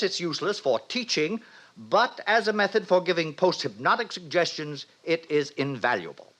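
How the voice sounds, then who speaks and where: High-pitched, pompous lecturing director. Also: male voice, a bit bright. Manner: pompous, didactic, declamatory. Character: brisk, self-satisfied male director lecturing young students. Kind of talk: 1950s radio drama acting. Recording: vintage 1950s radio recording.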